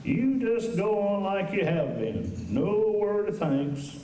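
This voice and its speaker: Slow, mellow frontier doctor played by a man, distant. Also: high voice, very animated, exaggerated voice. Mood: scolding. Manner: folksy, blunt, lecturing. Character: frank, scolding old-West town doctor, male friend of the marshal. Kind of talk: Western radio drama acting. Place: high school auditorium stage. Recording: roomy auditorium, distant mics, faint noise.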